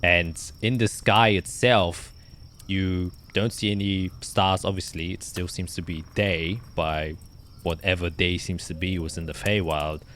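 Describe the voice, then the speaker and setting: A man improvising narration as game master of a tabletop fantasy game, often pausing to search for his words. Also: low voice, steady tone, fairly bright tone, slight background noise.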